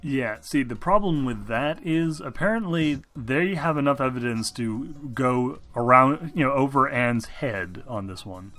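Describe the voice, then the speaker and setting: A man acts as game master, improvising narration in a casual, halting way. His voice is mid-pitched, with music playing behind it. He swears freely as he goes. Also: expressive, a bit bright. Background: background music.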